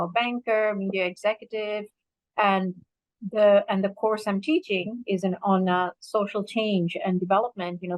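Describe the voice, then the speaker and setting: Woman in her fifties from Bangladesh, reflective talk, lots of "um" and "you know", mid pitch, close dry sound. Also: no room sound, poor audio. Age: 50s. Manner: many fillers, earnest and reflective. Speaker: alumna speaker. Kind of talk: personal talk to an audience.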